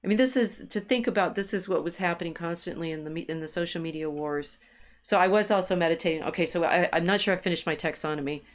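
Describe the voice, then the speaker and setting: A woman with a slightly low voice talks through ideas as a co-host of a discussion. Her delivery is halting, circling back over the same words while she hunts for the right phrase. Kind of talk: intellectual discussion, thinking aloud.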